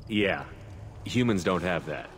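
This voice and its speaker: A man playing a calm human military commander in a video game, speaking plainly and directly. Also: low-pitched, measured pace. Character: human male military starship commander, calm, steady, loyal. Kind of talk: video game cutscene acting. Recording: faint background noise.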